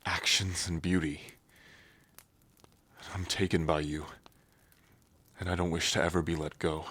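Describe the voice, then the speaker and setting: A man voicing a young dragon heir confessing his love in an audio roleplay, earnest and soft. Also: low voice, flat delivery, measured pace, husky, no room sound. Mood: tender. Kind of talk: ASMR roleplay.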